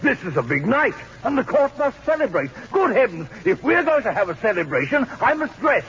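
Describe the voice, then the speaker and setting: A pompous French king, high-pitched and swooping, irritable and imperious. It is old radio comedy acting, caught on a muffled broadcast recording. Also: big pitch swings, fairly quick, fairly bright tone, slightly rough, full character voice. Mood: blustering, commanding. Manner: imperious, blustering.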